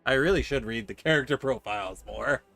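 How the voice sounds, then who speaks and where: A man's voice: mid-range voice, normal speed, gravelly, a bit bright, faint music behind. A man commentating casually as he plays through a story-driven video game. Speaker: gaming streamer playing and reading story quests. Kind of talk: game commentary with acted dialogue.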